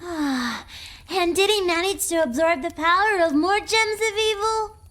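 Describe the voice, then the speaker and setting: Very high, eager child. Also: female voice, unhurried, a bit bright. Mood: eager, curious. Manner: inquisitive, eager questioning. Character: eager, curious child listening to a story. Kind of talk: video game character acting.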